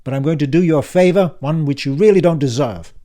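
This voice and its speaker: A brash, sardonic older uncle barks his lines in a cutting, impatient manner, put on by a man reading an audiobook. The voice sits higher and louder than the reader's own, gruff and bossy throughout. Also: fast talker, lightly acted. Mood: brusque. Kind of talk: audiobook character voice.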